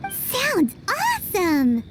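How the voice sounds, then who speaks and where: A woman voicing a tiny, excitable fairy-like child companion in an anime-style video game, squeaky and full of wide-eyed exclamations. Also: drawn-out words, background noise, heavily acted. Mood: excited.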